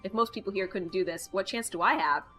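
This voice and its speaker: A woman with a bright, forward voice narrates a visual novel as she plays it. She reads expressively and drops in casual, wry comments, with faint music behind her. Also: mid-range voice, fast. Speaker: gaming video host. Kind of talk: reading a visual novel aloud with casual asides.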